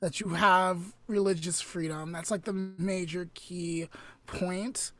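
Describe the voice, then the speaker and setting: A woman hosting a casual podcast conversation, thoughtful and halting as she searches for words. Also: fairly low pitch, fairly even intonation. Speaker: podcast host.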